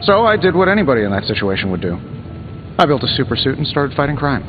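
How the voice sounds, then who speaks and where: Video game superhero inventor, male actor: American, quick-witted, smug wisecracker, mid-pitched, music clearly underneath. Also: big pitch swings, close to an everyday voice. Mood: glib, self-mocking. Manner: sarcastic, glib, quick-witted banter. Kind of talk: video game dialogue acting. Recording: dull game audio with music behind.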